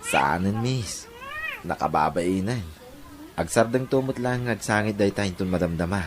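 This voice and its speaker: A man playing a gentle, apologetic father coaxing his child in an Ilocano audio drama. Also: lowish voice, lively intonation, measured pace, noisy background. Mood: embarrassed, apologetic.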